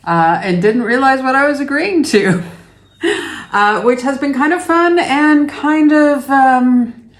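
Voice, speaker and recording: A woman's voice: high voice, expressive, slow pace. A middle-aged woman from Canada hosting a yarn vlog from her dining room at home, chatting casually to the camera in a rambling, self-deprecating way with many fillers. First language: English. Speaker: yarn vlogger host.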